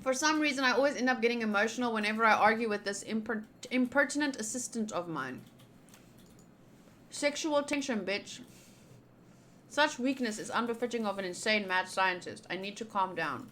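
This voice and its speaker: A woman's voice: on the high side, moderate pace, fairly bright tone, a little hiss or hum. A young woman streaming a game playthrough, casually reading dialogue aloud and drifting into playful, rambling asides.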